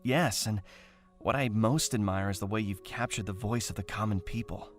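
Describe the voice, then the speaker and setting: A man's voice: on the low side, moderate pace, soft background music, natural voice. A man playing an eager, polite young actor in an audio drama, gushing in wordy, earnest sentences. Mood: polite admiration. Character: eager, starstruck young actor gushing over his idol.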